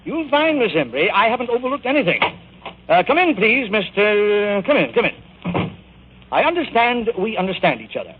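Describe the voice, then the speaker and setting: A man playing a pompous, bombastic, domineering magazine publisher in 1940s radio style, grandiose and brisk. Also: high-pitched, very animated. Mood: brisk, commanding. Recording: scratchy, tinny 1940s radio broadcast.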